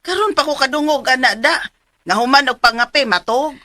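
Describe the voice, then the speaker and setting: Baffled cebuano mom in a radio drama, high n sharp, exclaiming. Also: female voice, brisk, no room sound, clean studio sound. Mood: astonished. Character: bewildered, exclaiming mother in a family drama. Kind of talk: Philippine radio drama acting.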